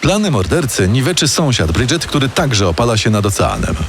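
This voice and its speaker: A male narrator reading a scripted true-crime story. His pitch is medium but swings in a lively way, and music plays clearly behind him. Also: brisk.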